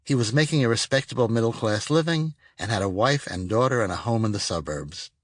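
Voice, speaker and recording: A man narrates a nonfiction audiobook in a scripted, read-aloud style. His voice sits at an average pitch for a man. The studio sound is dry, with hardly any room around it. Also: lively intonation, fairly quick, no echo. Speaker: author reading own audiobook.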